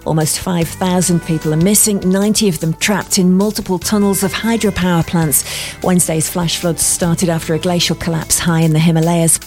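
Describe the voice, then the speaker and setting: A woman reading a radio news bulletin in a measured, formal way. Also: lowish voice, steady tone, darkish tone, soft background music. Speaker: newsreader.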